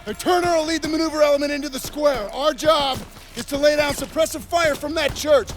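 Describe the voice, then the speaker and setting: A man playing a scornful, hard-bitten American army sergeant who gruffly orders his squad around in a war game. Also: extremely high pitch, brisk. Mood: stern, commanding. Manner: gruff, commanding, profane, sarcastic. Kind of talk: cinematic war game acting. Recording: loud music behind.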